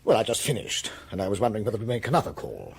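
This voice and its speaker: An actor playing a pompous, huffy, indignant politician in a radio drama. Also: male voice, lowish voice, sing-song, racing, gravelly, husky, exaggerated voice. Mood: defensive. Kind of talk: British radio drama acting.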